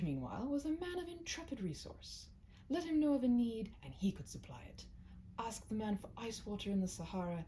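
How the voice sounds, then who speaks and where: A woman with a lowish, creaky voice speaks as a cozy storyteller addressing one listener. Her intonation is lively and her manner chatty and slightly self-conscious. Some steady noise sits behind her. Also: low-quality recording. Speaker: storyteller, host reading own short stories. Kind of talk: cozy roleplay chat to listener, then story read-aloud.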